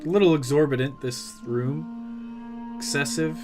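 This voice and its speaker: A man's voice: on the high side, unhurried. A man giving casual let's play commentary with wry, offhand asides. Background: game music.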